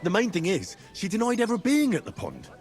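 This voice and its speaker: An actor playing a patient, practical young commoner in a video game, down-to-earth and a little wry. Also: male voice, high voice, huge pitch swings, brisk, darkish tone, faint music behind. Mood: earnest. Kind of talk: dramatic video game dialogue.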